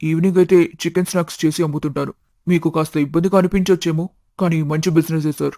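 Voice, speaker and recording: A man's voice: on the high side, steady tone, fast talker, mellow, dry recording, clean studio sound, natural voice. A man voicing a talkative, good-hearted young snack-shop owner in a family story, polite, chatty and matter-of-fact. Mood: chatty, frank.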